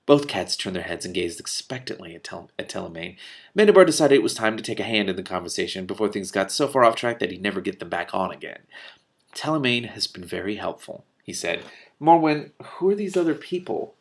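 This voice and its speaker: A man reading a fantasy novel aloud, raising his voice for the characters' lines and breaking off for quick, lively comments and laughs. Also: vocal fry. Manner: animated, laughs and swears in asides, quick mutters between passages; rises in pitch and volume for character lines. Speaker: reader and commentator.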